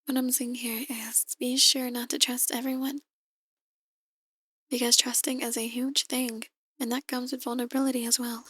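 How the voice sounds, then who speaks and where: A woman playing a soft-spoken, ominous demon tarot reader in an ASMR roleplay, speaking in a measured, breathy near-whisper. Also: fairly high pitch, flat delivery, no echo. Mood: calm, solemn. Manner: slow, measured, gently ominous. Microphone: close, dry microphone.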